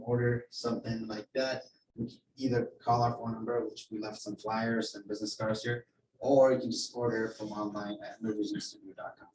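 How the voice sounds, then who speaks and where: A man from Ethiopia introducing his photography business, hesitant, with many fillers. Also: on the low side. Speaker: guest photographer. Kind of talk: informal self-introduction and pitch. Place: office meeting room. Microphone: room camera mic from a distance. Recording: echoey room, distant mic, faint hum.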